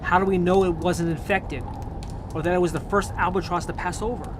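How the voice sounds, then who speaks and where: An actor playing a nervous, watchful crewman on a polar expedition in a radio drama, hushed and anxious. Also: steady tone, brisk, close to an everyday voice. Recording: heavy rumbling noise, slightly distant.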